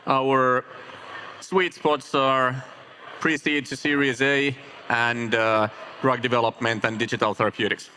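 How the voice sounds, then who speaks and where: A man's voice: medium pitch, little pitch movement, a little slow, bright, forceful tone. A man speaking as an investor panelist, presenting himself on a conference stage. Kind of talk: panel self-introduction. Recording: faint ambient noise, live event stage.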